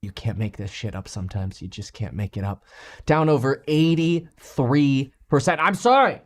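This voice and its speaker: A man co-hosting a livestream, reacting to a clip in an animated, joking way. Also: mid-range voice, normal speed.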